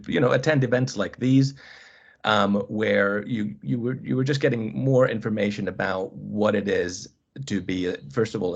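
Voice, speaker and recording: Low-voiced man, hesitant, dull call audio. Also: gravelly, breathy edge. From Finland. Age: 30s. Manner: hesitant, restarts phrases with many ums. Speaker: college squash coach, guest speaker. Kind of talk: webinar presentation. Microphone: video call.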